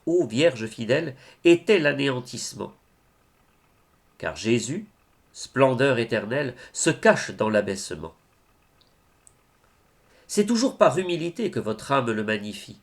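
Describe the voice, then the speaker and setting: Slow, expressive man reciting devotional poetry. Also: mid-range voice. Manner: deliberate, declamatory phrasing with pauses.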